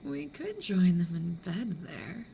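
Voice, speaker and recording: A woman playing a playful, mischievous demon in a hushed, teasing, creaky ASMR roleplay whisper. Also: fairly low pitch, very animated, takes it slowly, heavily acted. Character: playful, mischievous demon who toys with humans. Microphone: close studio condenser or binaural mic. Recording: clear background noise.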